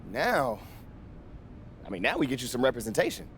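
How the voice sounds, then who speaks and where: Fast, animated young hustler buddy. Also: male voice, medium pitch, a little hiss or hum. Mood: businesslike. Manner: slangy, cocky, teasing. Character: brash, joking young male hustler, athlete's best friend. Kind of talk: casual game cutscene banter.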